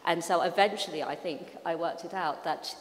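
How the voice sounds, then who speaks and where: A woman's voice: on the low side, normal speed. A woman in her forties, a novelist on a festival panel, answering thoughtfully with fillers and restarts. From Pakistan, raised in London. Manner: reflective, many fillers and restarts. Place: large hall on stage. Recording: echoey hall.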